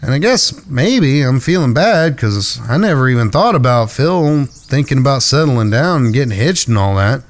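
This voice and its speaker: A man's voice: mid-range voice, sing-song, normal speed, hardly any pauses. A man playing an earnest, protective younger brother in a cowboy gang, plainspoken and folksy, in a western audio drama. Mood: pensive, worried.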